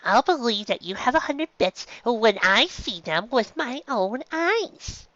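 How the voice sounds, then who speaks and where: Storybook snob filly, played by an adult narrator: sarcastic, sneering, pitched up, slightly lo-fi. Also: sing-song, unhurried, fairly bright tone, no room sound. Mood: scornful. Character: snooty, scornful young rich filly, a girl pony.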